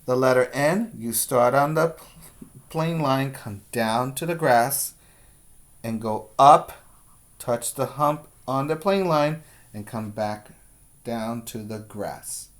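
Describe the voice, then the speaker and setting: A man's voice: mid-range voice, slow. A man working as a preschool teacher, giving simple step-by-step instructions in a lesson for young children. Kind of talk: read-aloud and handwriting lesson for young children.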